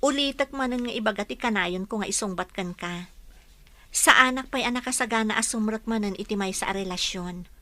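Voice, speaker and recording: A woman's voice: medium pitch, brisk, a bit bright, dry recording, lightly acted. A young woman acting a sincere, heartbroken young nurse in an Ilocano radio-style drama, speaking earnestly. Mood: firm, earnest. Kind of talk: Ilocano audio drama dialogue.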